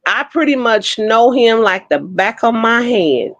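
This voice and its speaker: A woman in her fifties chatting frankly on an online panel, telling her own stories with lively, blunt humor. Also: on the high side, a little slow, dull audio. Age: 50s. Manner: frank, animated storytelling with Southern-inflected slang. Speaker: panel co-host. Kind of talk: casual chat. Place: Oklahoma City.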